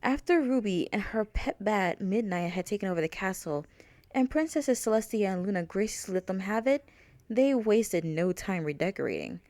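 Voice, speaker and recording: A woman's voice: mid-range voice, lively intonation, normal speed, a little mellow, dry recording. A woman reading out her own fan fiction as a scripted storybook narration.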